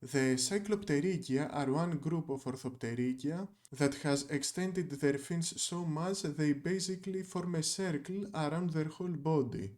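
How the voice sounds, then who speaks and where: Young guy, greek is his first language, reading his script with dry little jokes, voice on the higher side. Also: unhurried, hardly any pauses, a little mellow. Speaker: video essayist. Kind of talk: scripted narration.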